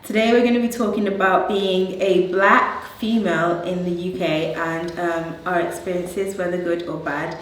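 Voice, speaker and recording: A woman's voice: on the low side, steady tone, normal speed, hardly any pauses. A woman with a British accent, from Nigeria and raised in the UK, hosting a group discussion. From born in Nigeria, grew up in the UK. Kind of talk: panel chat, asking questions. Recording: echoey room, distant mic, faint noise.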